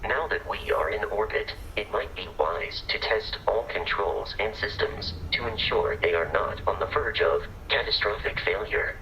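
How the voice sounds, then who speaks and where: An actor playing a dutiful robot ship's assistant in a video game, formal, precise and deadpan. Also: male voice, fairly low pitch, moderate pace, few pauses, highs cut off, a little hiss or hum. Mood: calm, instructive. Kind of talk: scripted game dialogue.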